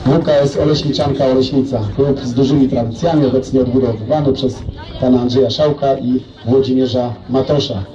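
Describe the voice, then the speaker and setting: A man reading out race results and club names as announcer at an outdoor awards ceremony, brisk and matter-of-fact. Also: mid-range voice, fairly even intonation, muffled recording. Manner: brisk, lists names and clubs. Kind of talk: reading results over loudspeaker. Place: outdoor sports field. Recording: echoey loudspeaker from far off, crowd.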